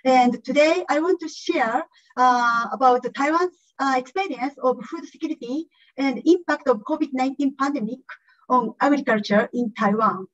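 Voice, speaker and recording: High-pitched woman, english not her first language, lots of uhs, kinda dull lo-fi call audio. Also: moderate pace, fairly bright tone, no echo. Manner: hesitant, many fillers. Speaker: invited conference speaker. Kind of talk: online academic presentation. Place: indoor room.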